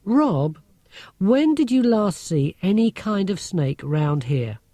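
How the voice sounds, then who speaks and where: A man acts out a schoolgirl from a children's audiobook. The voice is raised high and soft-edged, with a lively swing. She sounds brainy, blunt and sceptical, a knowing little expert who is hard to impress. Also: slow pace, no room sound, exaggerated voice. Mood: brisk, challenging.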